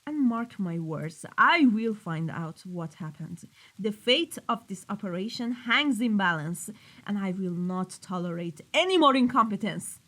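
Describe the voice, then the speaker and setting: Menacing female crime boss, played by a woman, mid-pitched, stern and commanding, for an audio roleplay. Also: animated, unhurried, fairly bright tone, low-quality recording. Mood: menacing, demanding. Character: cold, menacing female mafia boss dealing in weapons. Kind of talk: scripted audio roleplay drama.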